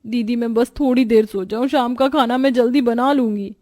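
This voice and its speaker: A woman's voice: on the high side, fairly even intonation, moderate pace, a little mellow, natural voice. A woman voicing a young, put-upon new bride who complains and protests plaintively in a cartoon story. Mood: meek.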